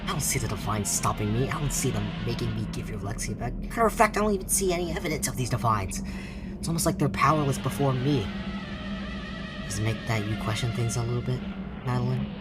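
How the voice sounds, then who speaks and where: A woman playing a mocking, smug evil entity that poses as a dead loved one in a horror audio drama, drawing out her words. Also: rumbling low. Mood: scornful. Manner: taunting, smug, drawn-out. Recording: loud music over the voice.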